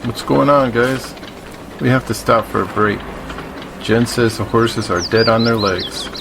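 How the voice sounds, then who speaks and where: A man's voice: medium pitch, steady tone, measured pace, gravelly. An actor playing a weary, compliant man travelling with a group in an audio drama. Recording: noisy outdoor ambience behind.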